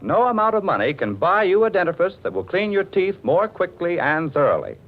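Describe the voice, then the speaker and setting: A man's voice: high-pitched, sing-song, fairly quick. A man announcing and narrating in scripted 1940s radio style, theatrical and polished. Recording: muffled, narrow-band 1940s radio recording.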